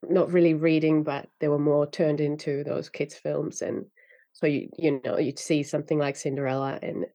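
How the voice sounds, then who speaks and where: A woman's voice: low-pitched, little pitch movement, normal speed, dark tone, dry recording. A woman author from Finland whose first language is Finnish, chatting loosely with fillers and restarts. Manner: rambling, many fillers and restarts, laughs often. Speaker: author guest. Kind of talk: casual interview chat.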